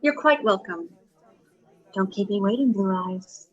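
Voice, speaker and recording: An actress playing a cool, scheming young receptionist in a detective audio drama, guarded and confiding, on a slightly dull recording. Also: female voice, medium pitch, measured pace, lightly acted. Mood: polite.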